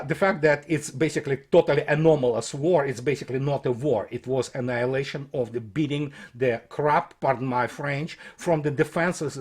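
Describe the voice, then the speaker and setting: A man giving unscripted, opinionated commentary in a scornful, emphatic and halting manner, stumbling and repeating himself as he goes. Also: high voice. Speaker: military commentator. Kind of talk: unscripted opinionated commentary, quoting and critiquing a report.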